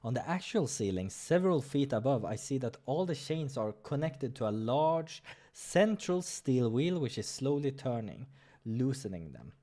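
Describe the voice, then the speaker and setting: A man's voice: medium pitch, sing-song, moderate pace, mellow. A man reading a visual novel aloud as a let's player, dramatic with casual asides and the odd stumble, over music. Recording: music behind the voice.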